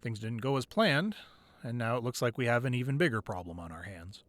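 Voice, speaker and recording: A man playing a weary but devoted, protective crime boss boyfriend in an intimate ASMR roleplay, speaking softly and close, at times breathy. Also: mid-range voice, animated, a little fast, no echo, close to an everyday voice. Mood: grave, troubled. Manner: soft, intimate, drifting into breathy whispers.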